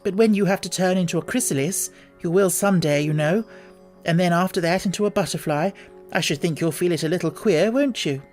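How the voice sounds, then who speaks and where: A polite, puzzled young girl, as a man reads her, lifts her voice high and speaks earnestly. She sounds courteous but a little plaintive, with a touch of irritation beneath. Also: a little fast, darkish tone, faint music behind, close to an everyday voice. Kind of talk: audiobook character voice.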